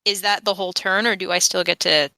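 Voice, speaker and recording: A woman's voice: lowish voice, fairly even intonation, fast, gravelly, sharp tone, dry recording. A woman playing a tabletop game, casually and playfully describing her character's moves in slangy, vivid terms.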